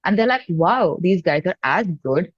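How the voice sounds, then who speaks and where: A woman's voice: on the low side, lively intonation, normal speed, dry recording. A woman from Hyderabad with an Indian accent, giving candid, casual and emphatic interview answers as a guest musician. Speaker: guest, indie singer-songwriter. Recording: dull, lo-fi, dry audio.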